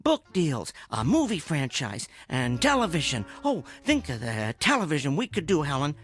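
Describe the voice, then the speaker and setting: Eccentric American husband, very animated. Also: male voice, a little high, normal speed, faint music behind. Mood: eager, scheming. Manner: earnest, explaining, pleading. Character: eccentric, earnest, geeky husband and basement inventor, eager schemer. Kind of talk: audio drama acting.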